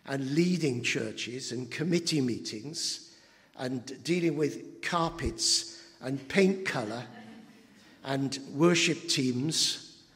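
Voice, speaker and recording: A man, preaching an anniversary sermon as pastor, halting with fillers and building to emphatic moments. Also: medium pitch, fairly bright tone. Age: 70s. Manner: slow, halting with many fillers, rising to emphatic. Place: church hall. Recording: long church reverb.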